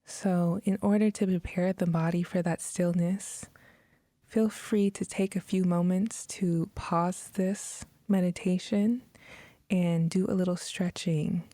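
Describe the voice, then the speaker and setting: A woman leading a guided meditation in a soft, breathy, measured manner with long pauses. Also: lowish voice, flat delivery, no room sound. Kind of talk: guided meditation, scripted narration.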